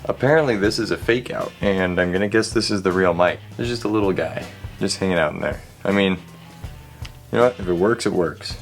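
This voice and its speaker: A man with a low voice talks casually through a hands-on repair. He rambles and jokes in a wry, sweary way as host. Faint music sits behind him. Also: a little fast, gravelly.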